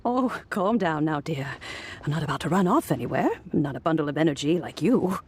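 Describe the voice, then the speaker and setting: A woman playing a kindly, unhurried old lady mentor in a video game, with an aged, grandmotherly, affectionate manner. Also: low voice, animated, a little mellow, slight background noise. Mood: fond, amused.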